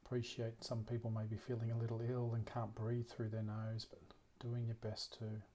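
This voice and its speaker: A man leading a guided meditation as a teacher, speaking gently and unhurriedly with long pauses. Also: fairly low pitch, monotone, a little hiss or hum.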